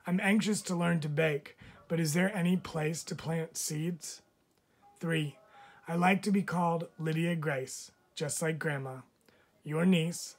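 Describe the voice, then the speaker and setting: Man reading a storybook aloud. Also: on the high side. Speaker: teacher reading a picture book aloud. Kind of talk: storybook read-aloud of letters.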